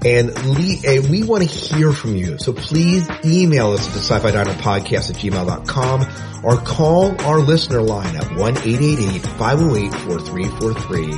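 Man, casual podcast host, animated intonation, music playing behind. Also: medium pitch, normal speed.

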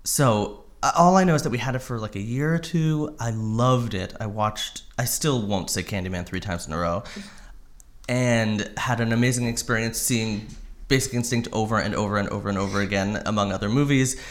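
A man in his thirties from Connecticut, a guest writer telling casual, self-deprecating stories in a studio interview. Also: on the low side. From Connecticut, USA. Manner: quick, chatty, self-deprecating anecdotes. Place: studio in New York.

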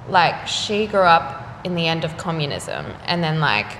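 A woman's voice: deep voice, very animated, unhurried, fairly bright tone. Chatty young woman in echoey room. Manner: chatty, filler-heavy, laughs often. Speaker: artist interviewee. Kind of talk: casual conversational interview. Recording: echoey room with faint noise.

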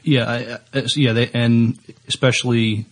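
A man co-hosting a podcast, talking casually and haltingly as he searches for words. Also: mid-range voice, little pitch movement. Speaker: podcast co-host. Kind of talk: casual discussion.

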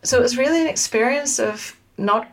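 A woman's voice: fairly high pitch, brisk, creaky. An Australian woman in her forties talks as an academic interview guest. She speaks thoughtfully and carefully, hedging as she reflects. Her microphone sounds a little distant. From Sydney, Australia. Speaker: guest, media studies academic.

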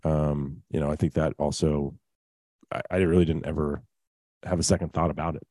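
A deep, dark-toned man with a drawl hosts an outdoor radio show. He talks in a relaxed, chatty way and slides easily between ad reads and conversation. The sound is close and dry. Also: normal speed, no echo. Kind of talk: casual radio chat and live ad reads.

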